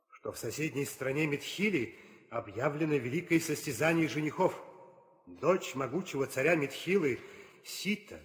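An actor playing a dignified royal counsellor, with formal, measured declamation in a theatrical audio play. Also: male voice, mid-range voice, natural voice. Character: dignified royal counsellor citing ancient law. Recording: reverberant 1960 audio play record.

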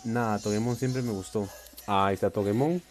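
A man's voice: fairly low pitch, steady tone, brisk. A man hosting a live unboxing stream from a tabletop, chatty and upbeat, reading viewer comments aloud. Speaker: collector livestream host. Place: indoors at a table.

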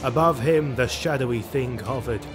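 A man's voice: medium pitch, normal speed. A man narrating a fantasy audio drama in a grave, dramatic storytelling manner. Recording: music behind the voice. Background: dramatic music.

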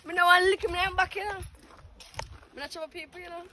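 A woman's voice: very high voice, steady tone, fast talker, noisy background. A Jamaican woman chatting playfully in patois on a roadside, laughing a lot. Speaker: vlog guest. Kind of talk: casual chat. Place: outdoors by a roadside.

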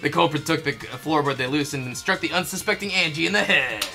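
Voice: medium pitch, gravelly, sharp tone, soft background music. A person reading a game script as scripted narration, with playful, casual asides. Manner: playful asides, quick to laugh. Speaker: fandub performer reading the game script with commentary. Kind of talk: scripted narration mixed with casual joking chat.

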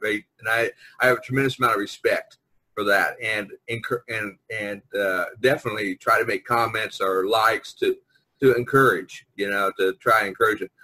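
A middle-aged man with a husky, slightly rough voice of ordinary pitch. He talks casually on a group video call, circling back and rephrasing as he goes. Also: steady tone, moderate pace, pushed and bright, poor audio. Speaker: podcast host. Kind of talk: casual group chat among friends. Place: online video conference call.

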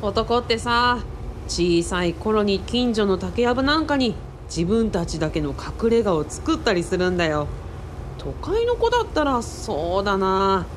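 A woman playing a boyish, excitable young man in a radio drama, speaking casually and exclaiming often. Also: medium pitch, a little slow, a little hiss or hum. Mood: casual, nostalgic. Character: boyish, excitable young male sidekick, Kaoru.

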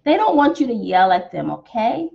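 High, very animated American woman storyteller. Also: moderate pace, gravelly. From Texas, United States. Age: young adult. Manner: theatrical, exaggerated, sing-song. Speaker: children's storytime teacher. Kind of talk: animated picture-book read-aloud for young children. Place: small decorated room at home, indoors. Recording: dull, slightly muffled webcam sound.

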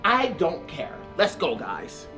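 A man's voice: sits high, wildly swooping pitch, slow, over loud music, full character voice. Man acting as a stern, scolding police officer. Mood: stern, commanding. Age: young adult. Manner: stern, lecturing, repetitive commands. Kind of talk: family skit acting. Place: outdoors in a backyard.

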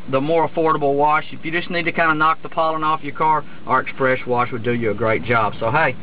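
Halting man touring his car wash. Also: a little high, slight background noise. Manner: rambling, halting, with many restarts and "uh"s. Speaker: car wash owner giving a tour. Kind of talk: casual walkthrough narration from inside a car. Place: inside a car going through a car wash. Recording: muffled, with loud car wash machinery behind.

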